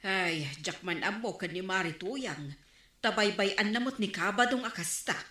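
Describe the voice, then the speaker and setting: Casting: exclamatory, gossipy Ilocano storekeeper, crisp forceful tone, echoey room, comic drama. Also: female voice, medium pitch, lively intonation, a little slow. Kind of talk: Ilocano radio comedy drama acting.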